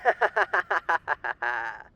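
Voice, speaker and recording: A man's voice: a little high, very animated, drawn-out words, pushed and bright, no room sound, full character voice. A man playing a suave demon overlord with theatrical, old-fashioned genteel diction in an audio roleplay. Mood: smug, courteous. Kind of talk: ASMR character roleplay.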